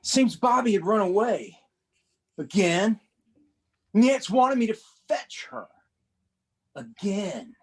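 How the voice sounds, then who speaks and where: Young rookie cop narrator, read by a man: husky, lively pitch, plain first-person telling. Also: slow, long pauses, exaggerated voice. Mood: wry. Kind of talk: dramatic reading of a comic.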